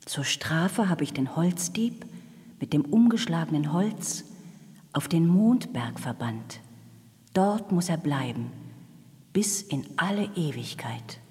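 An actress playing a stately fairy of the night in a children's radio play, speaking in solemn, hushed pronouncements. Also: female voice, sits low, expressive, takes it slowly. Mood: solemn, stern. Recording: long cavernous reverb.

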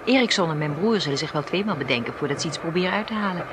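An actress playing a confident, coolly friendly schemer in a radio drama. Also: female voice, sits low, animated, racing. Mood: breezy, assured. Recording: noisy old radio play recording.

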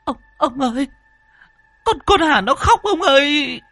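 A man's voice: very high voice, lively intonation, a little slow, creaky, sharp tone, dry recording, pitched above the performer's own voice, full character voice. A man voicing a frightened elderly village wife, stammering and quavering as she speaks. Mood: panicked.

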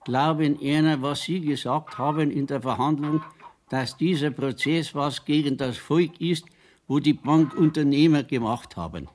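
An actor playing a simple, earnest Bavarian countryman in a radio play, speaking haltingly as he composes a letter, with a Bavarian accent. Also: fairly high pitch, darkish tone, slight background noise, natural voice. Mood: earnest, indignant. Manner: slow, halting dictation to himself as he writes. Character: simple, devoted rural Bavarian man laboriously writing a letter. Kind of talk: radio play acting in dialect.

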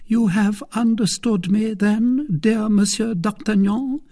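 A man voicing a proud, seductive and vindictive noblewoman in an audiobook of a classic novel, speaking in a deliberate, theatrical way. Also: high-pitched, dark tone, voice pushed up, exaggerated voice. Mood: coy, testing.

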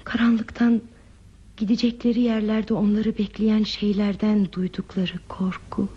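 An actress playing a troubled, reflective woman traveler in a radio play, with deliberate, poetic phrasing and a breathy voice. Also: mid-range voice, little pitch movement. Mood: wistful, reflective. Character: pensive, troubled woman traveler stranded at a remote station. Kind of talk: Turkish radio theatre acting. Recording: muffled old radio drama recording.

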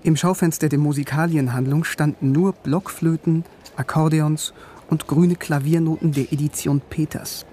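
A man's voice: a little high, fairly even intonation, moderate pace, dark tone, a little hiss or hum, lightly acted. An actor playing a wry, self-deprecating young East German man who narrates his awkward youth in a German radio drama. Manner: self-deprecating, wryly literate.

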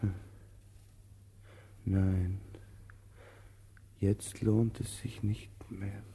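Weary old tramp in a german radio play, raspy, really slow, hesitant and questioning. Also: male voice, on the low side, flat delivery, mellow, full character voice. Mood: resigned, quiet. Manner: halting, hesitant, repeats words. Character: old male vagrant, anxious, questioning, weary yet hopeful. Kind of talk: German-language radio drama acting.

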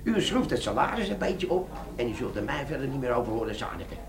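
An actor playing a cheeky, scheming older army sergeant in a comic radio play, with a gravelly, colloquial delivery. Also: male voice, medium pitch, sing-song, fast, crowd noise. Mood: cocky, eager. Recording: noisy old radio drama recording.

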